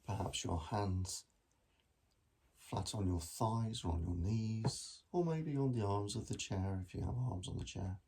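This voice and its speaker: A man guiding a meditation in a gentle, measured manner with long pauses between phrases. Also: lowish voice, creaky, a little hiss or hum. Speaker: mindfulness meditation teacher. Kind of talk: guided body scan meditation.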